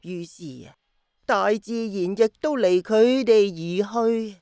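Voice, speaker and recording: An actress plays a gentle old grandmother in a radio drama, wistful and patient as she explains things. She speaks slowly, with sighs, at a middling pitch. The sound is close and dry. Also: clear, resonant tone, no room sound, natural voice. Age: older. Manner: slow, sighing, patient explaining. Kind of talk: Cantonese radio drama acting.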